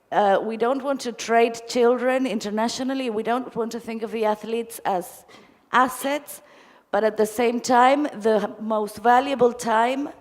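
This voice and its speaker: In a reverberant hall, a woman from Argentina puts a question at a conference. She is articulate and discursive, with a mid-pitched voice. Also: a little mellow. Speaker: audience member putting a question to a panel. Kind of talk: spontaneous question and comment at a conference. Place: large indoor conference hall. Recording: echoey hall, long reverb.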